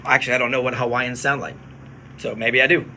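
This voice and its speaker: A man who works as a radio DJ, chatting casually on a phone livestream from his studio, relaxed and explaining things to viewers. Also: mid-range voice, fast, a little hiss or hum.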